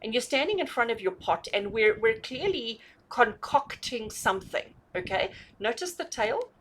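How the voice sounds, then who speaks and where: Woman tarot host, animated and fairly high, emphatic and conversational, slight background noise. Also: normal speed, slightly rough. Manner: emphatic, repeats and rephrases points, often checks in with rhetorical questions. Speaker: tarot reader hosting a reading. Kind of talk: conversational, intuitive tarot reading addressed to viewers.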